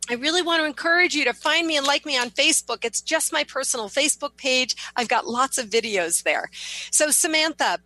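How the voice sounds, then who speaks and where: A woman's voice: fairly high pitch, sharp tone, dry recording, low-quality recording. A woman author appearing as a guest on talk radio, speaking eagerly and with emphasis.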